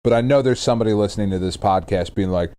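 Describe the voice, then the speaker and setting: A man's voice: fairly low pitch, fast, warm tone. A middle-aged man chatting casually as a podcast co-host, irreverent and emphatic, swearing freely as he rants. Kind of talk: casual chat.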